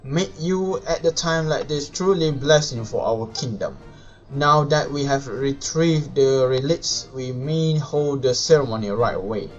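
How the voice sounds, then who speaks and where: A man who is a non-native English speaker, live streaming a game and reading its dialogue aloud in a halting, stumbling way. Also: mid-range voice. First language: not English. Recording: dull lo-fi mic with music behind.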